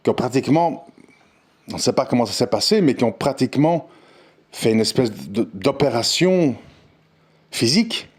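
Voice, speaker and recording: A man's voice: medium pitch, animated, moderate pace, long pauses, breathy edge. Earnest man in a rambling monologue. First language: not Arabic. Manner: earnest, insistent, many restarts and repeated words. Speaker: religious commentator. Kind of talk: unscripted audio monologue.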